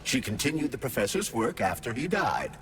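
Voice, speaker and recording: An actor playing an erudite, logical artificial intelligence companion in a video game, explaining things formally and articulately. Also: male voice, medium pitch, big pitch swings, brisk, natural voice. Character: talking AI glove, erudite, logical male companion. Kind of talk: video game voice acting, expository.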